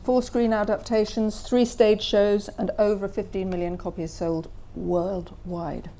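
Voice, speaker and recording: A woman's voice: medium pitch, level tone, measured pace, warm tone. A woman interviewer hosting a live talk before an audience, giving an enthusiastic introduction and then prompting her guest with leading questions. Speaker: interviewer and event host.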